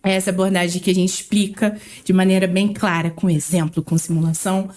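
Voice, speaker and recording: A woman with a Rio de Janeiro accent, co-hosting a casual podcast and talking with animated emphasis. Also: fairly low pitch, fairly quick. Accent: Rio de Janeiro Portuguese. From Rio de Janeiro, Brazil. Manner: animated, emphatic, laughs easily. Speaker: podcast co-host.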